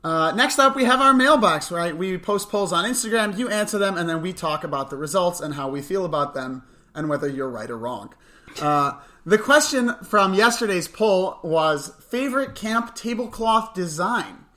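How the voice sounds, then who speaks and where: Bright-voiced young man, dry and mock-serious. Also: sits high, animated, normal speed. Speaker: co-host of a camp morning show podcast. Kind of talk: chatty news and mailbox segment.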